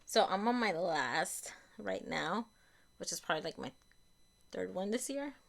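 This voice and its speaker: A young woman reviewing beauty products she has used up, in a chatty, casual way, her voice a little raspy from a cold and often correcting herself as she talks. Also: mid-range voice, animated, unhurried. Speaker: beauty vlogger.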